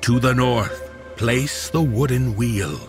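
An ominous spirit recites like an incantation in a horror radio play. The voice is mid-pitched, very gravelly and drawn out, solemn in every word, with music clearly behind it. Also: male voice, slow pace. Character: ominous male ritual spirit reciting rhymed instructions. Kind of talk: old time radio horror acting.

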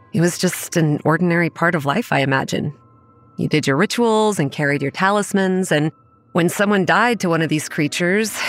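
An actress playing a haunted, wary young campground manager, narrating a horror audio drama in a measured, reflective, grave way. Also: female voice, deep voice. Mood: brooding, resentful. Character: haunted, wary young woman running a monster-ridden campground. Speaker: narrator and lead character.

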